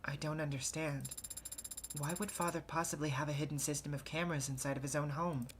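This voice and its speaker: A woman's voice: deep voice, steady tone, fairly quick, slight background noise, natural voice. A woman voicing an earnest, loyal young android assistant from a video game, with precise, formal, stilted diction. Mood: puzzled. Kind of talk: voice acting a visual novel game.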